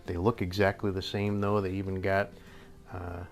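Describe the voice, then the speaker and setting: An older man with a slightly hoarse voice, rambling through a casual airgun review in a workshop and chuckling often. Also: low-pitched, flat delivery, normal speed, soft background music. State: a little hoarse. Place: indoor workshop with tool wall. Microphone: camera at a few feet.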